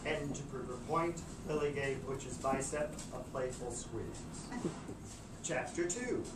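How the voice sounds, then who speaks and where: In an echoey, noisy room, a man narrates a story at medium pitch. His scripted reading is theatrical and arch, with a florid storyteller's manner. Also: slow pace, distant mic. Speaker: narrator reading a novel aloud.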